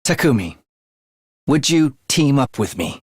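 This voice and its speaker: A man's voice: medium pitch, big pitch swings, unhurried, breathy edge, no room sound, studio quality. A man voicing an eerie, bloodthirsty young male student in a video game, with a sinister, theatrical manner that savors morbid details. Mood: sly, determined. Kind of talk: video game character voice acting.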